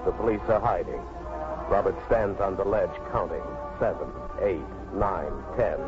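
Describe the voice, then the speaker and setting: An actor playing a solemn, suspenseful storyteller, narrating a 1950s radio drama. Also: male voice, low voice, moderate pace, music in the background, close to an everyday voice. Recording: muffled 1950s radio broadcast.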